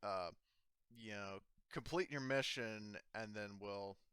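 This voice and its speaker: A man's voice: lowish voice, slow pace, bright, forceful tone, clean tone, dry recording, studio quality. A man acting as game master, talking casually and thinking aloud through the rules, with some swearing. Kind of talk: casual tabletop roleplaying game talk.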